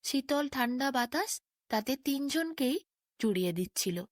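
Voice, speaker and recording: Female fairy-tale narrator: higher-pitched voice, very lively melody, scripted bedtime storytelling. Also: a little slow, dry recording, clean studio sound.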